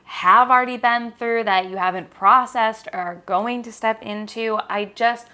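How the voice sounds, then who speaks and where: Podcast host: young American woman, unhurried, crisp and bright, speaking earnestly and encouragingly straight to listeners. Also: a little high, fairly even intonation. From Michigan, United States. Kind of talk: solo podcast monologue, personal introduction.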